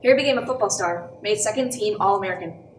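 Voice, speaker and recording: Young woman acting quick-talking storyteller, echoey. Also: mid-range voice, lightly acted. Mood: matter-of-fact. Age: young adult. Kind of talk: radio play narration. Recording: echoey room, distant mic, some noise.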